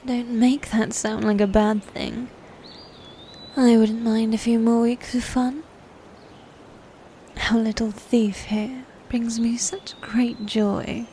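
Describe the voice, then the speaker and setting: Woman acting as haughty female knight, cruel and ambitious, very mellow tone, unhurried pace, theatrical archaic phrasing, medieval audio drama. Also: fairly high pitch, little pitch movement, a little hiss or hum. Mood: playfully cruel. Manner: theatrical, archaic formal phrasing, slow and deliberate. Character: proud, sadistic female knight, twin sister, playfully cruel and ambitious. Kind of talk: scripted medieval fantasy audio roleplay, ASMR.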